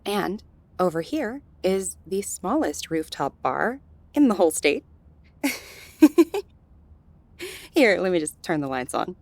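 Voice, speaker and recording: A woman's voice: mid-range voice, a bit bright, full character voice. A woman playing a friendly, flirtatious gallery worker in an intimate audio roleplay, soothing and teasing as she talks straight to the listener.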